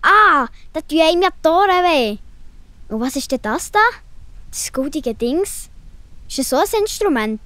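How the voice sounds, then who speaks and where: A woman plays a curious young girl in a children's audio adventure. Her voice is high, with a Swiss German accent and a playful, inquisitive, exclamatory delivery. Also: very animated, normal speed, a bit bright.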